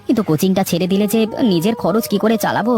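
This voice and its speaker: An actor plays a dutiful young tutor from a village cartoon. The young man speaks politely and earnestly in story dialogue. Loud music sits behind the voice. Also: mid-range voice, very fast, close to an everyday voice. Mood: worried.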